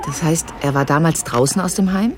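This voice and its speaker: A woman's voice: sits low, a little fast, slight background noise, natural voice. An actress playing a tired young woman in a radio drama, probing family secrets in an insistent, sarcastic, natural way.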